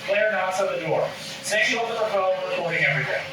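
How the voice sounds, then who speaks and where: High-pitched man narrating a script. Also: quick pace. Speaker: stage direction reader. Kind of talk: scripted narration, live table read. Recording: echoey room, distant mic, clear noise.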